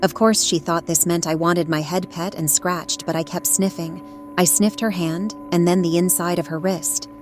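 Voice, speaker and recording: A woman voicing a devoted, perceptive pet cat, telling a story in a measured, earnest way. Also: fairly low pitch, fairly even intonation, natural voice. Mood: intent. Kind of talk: first-person dramatic story narration. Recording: narration over prominent music.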